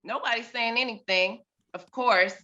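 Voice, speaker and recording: A woman in her thirties, a mother, telling a personal story on a video call with expressive, dramatic delivery and big swings in loudness. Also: fairly high pitch, normal speed, dull audio.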